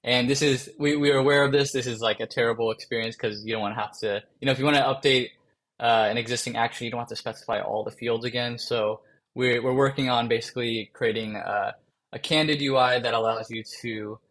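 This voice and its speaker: Gravelly man giving a casual tutorial. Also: medium pitch, steady tone, fairly quick, a bit bright. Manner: casual, many fillers and restarts. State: voice going rough from a long day of calls. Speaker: workshop presenter. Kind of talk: screen-share coding tutorial.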